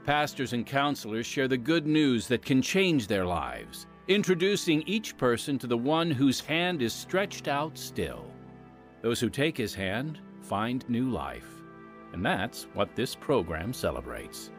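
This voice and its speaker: A man narrating a scripted radio program with solemn, measured emphasis. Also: medium pitch, very animated, soft background music.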